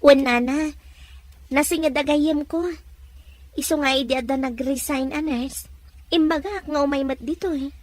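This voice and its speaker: A woman's voice: high voice, fairly even intonation, moderate pace, long pauses, dry recording, lightly acted. A woman acting a chatty, headstrong young Ilocano daughter in an audio drama. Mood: chatty, eager. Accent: Filipino, Ilocano. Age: young adult.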